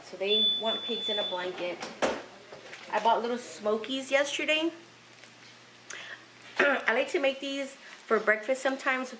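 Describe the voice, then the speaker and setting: A middle-aged woman from South Texas chatting casually to a handheld camera in her home kitchen, relaxed and full of ums and likes. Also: medium pitch, a little hiss or hum, poor audio. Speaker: vlogger. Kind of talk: casual home vlog chat. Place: home living room and kitchen, indoors. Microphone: handheld camera close to face.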